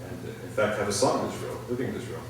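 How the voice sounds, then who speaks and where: A middle-aged man answering audience questions at a public talk, thoughtful and halting, with many restarts. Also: fairly low pitch. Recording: echoey hall, far from the mic, faint noise.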